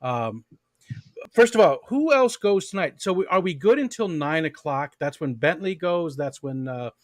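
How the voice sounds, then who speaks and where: A man hosting a casual stream, talking in a friendly, rambling way that is full of fillers. His voice sits a little high. The sound is dry and close. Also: expressive, normal speed, no room sound. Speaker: livestream host. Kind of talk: casual chat.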